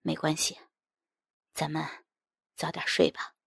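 A brooding, yearning husband in an audio story , played by a woman. The voice is lowish, husky and creaky, hushed and wistful, with a slow, gentle delivery. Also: monotone, dry recording, clean studio sound, heavily acted. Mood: dejected.

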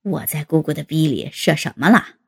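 A woman reading aloud voices a cheeky young man who teases with a grin in his words. The voice is dropped far below her own and sounds husky and gravelly. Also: on the high side, expressive, normal speed, voice pushed down, exaggerated voice. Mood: teasing. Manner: teasing, laughing. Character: cheeky young adult nephew.

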